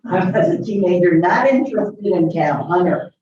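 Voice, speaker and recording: A woman's voice: on the low side, moderate pace, dark tone, highs cut off, slight background noise. A woman in the audience sharing a frank memory. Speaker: audience member. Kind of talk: audience comment. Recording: very echoey room from a distance.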